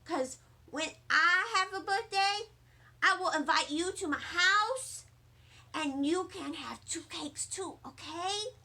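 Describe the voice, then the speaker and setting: A woman voicing an excitable, bragging little girl with childlike, emphatic chatter in a storybook read-aloud. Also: very high-pitched, slow, voice pushed up, heavily acted. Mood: eager, friendly.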